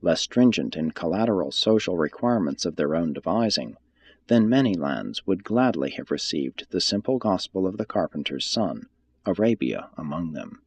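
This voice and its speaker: A man reading a religious text aloud as scripted audiobook narration, in a measured, formal and solemn manner. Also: sits low, sing-song, slightly rough.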